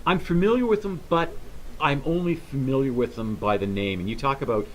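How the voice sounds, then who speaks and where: Male host, 50s, chatty and halting with restarts, faint hiss under the voice. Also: mid-range voice, poor audio. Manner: rambling, halting with many restarts. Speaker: talk show host. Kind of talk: casual interview chat.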